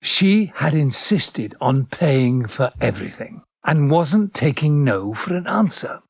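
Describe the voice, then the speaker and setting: Wistful man of eighty, audio drama narrator: lightish pitch, slow, reflective, dry sound. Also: big pitch swings, vocal fry, a little mellow, slightly rough, dry recording, exaggerated voice. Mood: fond reminiscing. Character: nostalgic old man of eighty recalling his great love. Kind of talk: reminiscing first-person audio drama narration.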